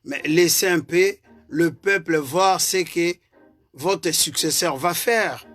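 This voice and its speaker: A man's voice: fairly high pitch, takes it slowly, fairly bright tone, faint music behind. Earnest Congolese French-speaking man. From Congo (DRC). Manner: earnest, hesitant, repeats words. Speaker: political party vice-president. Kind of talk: political commentary monologue.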